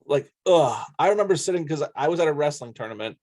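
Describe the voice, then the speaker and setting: Higher-voiced man chatting about sports. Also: animated, fast talker, darkish tone. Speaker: sports podcast co-host. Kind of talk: casual sports chat and anecdotes.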